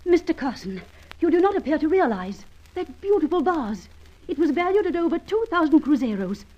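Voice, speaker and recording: An actress playing a haughty, cool Nordic shop dealer with formal, imperious diction in old-time radio drama style. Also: female voice, high-pitched, very animated, fairly quick, lo-fi sound. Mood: indignant, icy.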